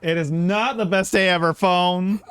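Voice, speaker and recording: A man live-streaming a game, chatting casually and reacting in a halting, soft-hearted way. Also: sits high, steady tone.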